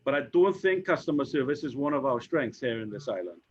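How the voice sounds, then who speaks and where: A Trinidadian man in his fifties, an insurance executive speaking on a panel, who talks haltingly with many repeated words and fillers. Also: mid-range voice, expressive, slightly rough. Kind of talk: conversational panel discussion. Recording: dull lo-fi recording.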